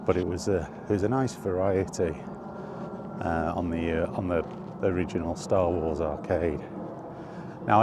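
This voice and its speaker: A middle-aged man chatting casually and reminiscing in a halting, thinking-aloud way, in short rambling phrases. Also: low voice, background noise. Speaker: video creator and host. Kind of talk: casual reminiscing monologue about retro games. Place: outdoors while walking.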